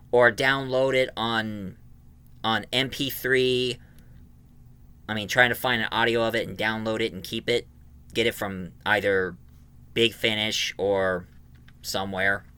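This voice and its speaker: A man's voice: mid-range voice, sharp tone, clear, resonant tone, no echo. A man hosting a casual video review, talking in an enthusiastic, rambling fan's way. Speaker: YouTube reviewer and host.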